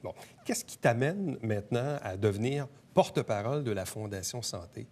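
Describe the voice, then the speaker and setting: A man with a Quebec French accent hosts a local interview show. He introduces his guests in a brisk, practiced way and throws in teasing questions. His voice sits at a middle pitch for a man. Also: animated, a little mellow. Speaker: host.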